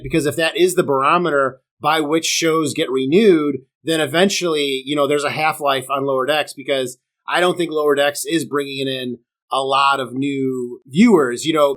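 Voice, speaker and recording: A man's voice: a little high, a bit bright. A man hosting a casual review podcast, chatty and opinionated, talking straight to his viewers while sick with a sore throat. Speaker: podcast host.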